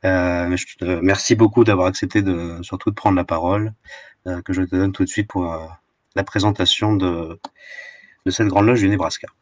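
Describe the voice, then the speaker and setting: A man's voice: low voice, fairly even intonation, moderate pace, no room sound, clean studio sound. A man hosting a podcast discussion over an online call, casual and hesitant with many fillers. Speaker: podcast host. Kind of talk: casual moderation.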